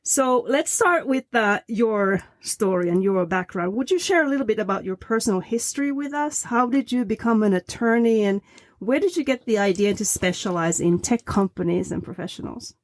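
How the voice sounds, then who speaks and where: A woman's voice: mid-range voice, lively intonation, normal speed, no room sound. Woman hosting, dry sound. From Finland. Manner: friendly, encouraging interjections. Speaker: podcast host. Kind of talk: interview hosting.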